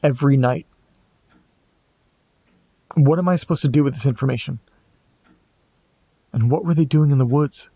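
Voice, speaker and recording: A man playing an anxious amateur investigator in a horror audio drama, muttering hesitantly to himself in a gravelly voice. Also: mid-range voice, normal speed, long pauses, mellow, no room sound, natural voice. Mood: uneasy, hushed. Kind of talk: audio drama monologue, reading and musing aloud.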